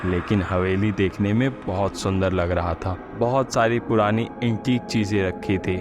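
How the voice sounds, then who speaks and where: A man's voice: fairly low pitch, steady tone, measured pace. A man narrating a scripted horror story as its storyteller. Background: music.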